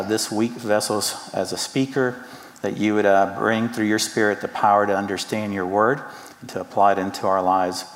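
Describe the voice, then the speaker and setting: A middle-aged man from Cuba, raised in the American South, with a Southern accent, preaching an earnest and personal sermon, often pausing on "uh". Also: lowish voice, long reverb tail. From Cuba, raised in Atlanta. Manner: earnest, personal, with frequent "uh" fillers. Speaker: pastor. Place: church sanctuary.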